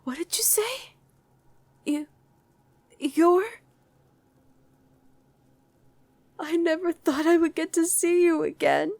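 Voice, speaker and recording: A woman voicing a guarded demon who was once a human mother, in an intimate ASMR roleplay with halting, emotional delivery. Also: very high voice, creaky, darkish tone, no room sound, full character voice. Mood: tearful, overjoyed. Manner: halting, emotional, speaks directly to one listener.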